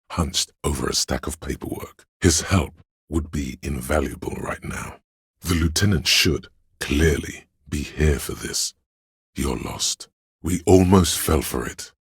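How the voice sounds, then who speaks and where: An exceptionally low-voiced man narrates a game with solemn, literary weight. His voice is husky and rasping, recorded close and dry. Each phrase is laid down deliberately, like scripted drama. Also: dry recording. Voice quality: gravelly, husky, very deep. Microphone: close studio microphone, dry.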